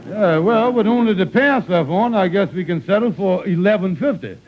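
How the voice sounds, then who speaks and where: An actor playing a wheedling, folksy hustler who runs an employment agency, hemming and hawing in a 1940s radio comedy. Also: male voice, high-pitched, animated, normal speed. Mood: haggling. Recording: muffled, noisy 1940s radio recording.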